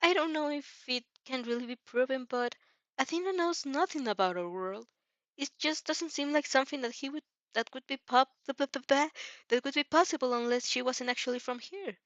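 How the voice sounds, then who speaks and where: A woman's voice: high voice, a little fast, fairly bright tone, no echo, muffled recording, voice pushed up. A woman voicing an earnest, pleading young boy who is protective of his friend.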